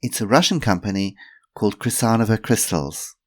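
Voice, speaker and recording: A man's voice: fairly low pitch, lively intonation, voice pushed up. A man voicing a shy young woman who speaks hesitantly. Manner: hesitant, halting. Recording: roomy echo.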